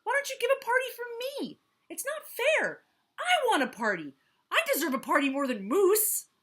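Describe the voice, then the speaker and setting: A woman voicing a jealous, whiny male jackal who grumbles, demands and yells that nothing is fair. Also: sing-song, fast talker. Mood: whiny, indignant. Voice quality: whining, shrill, indignant.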